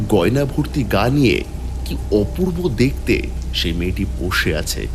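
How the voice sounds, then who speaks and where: A man narrating a scripted horror story in a dramatic storytelling style. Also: deep voice. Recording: music and rain behind the voice.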